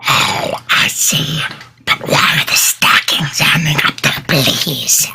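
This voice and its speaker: A man voicing a small curious boy mouse in a live radio play reading, pleading and hushed. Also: fairly high pitch, slow, vocal fry, a little hiss or hum, exaggerated voice. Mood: eager. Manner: pleading, hushed and wide-eyed.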